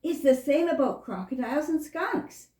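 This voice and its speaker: A woman's voice: sits high, moderate pace, dark tone, close to an everyday voice. A woman voicing a friendly, chatty young female skunk in a children's puppet show, earnest as she explains things. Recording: roomy, echoing room sound.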